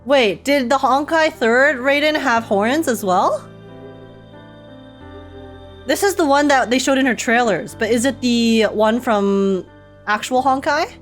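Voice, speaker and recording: A giggly, excitable woman giving casual reaction commentary as she plays a game. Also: high voice, a little slow, faint music behind.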